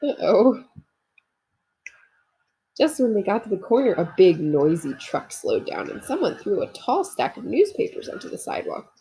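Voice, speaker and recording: A woman's voice: medium pitch, very animated, moderate pace, warm tone, a little hiss or hum, low-quality recording. A woman reading a children's story aloud expressively, talking to young listeners as she goes. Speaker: storybook reader. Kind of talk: children's read-aloud narration with asides.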